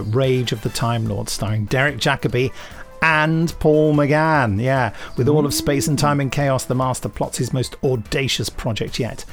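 A man with a medium-pitched voice co-hosts a podcast. He presents news casually and enthusiastically. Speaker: podcast host.

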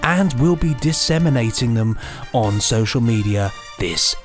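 A man's voice: medium pitch, lively intonation, a little slow, faint music behind. A man co-hosting a podcast, presenting news in an upbeat style with playful, teasing banter. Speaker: podcast co-host.

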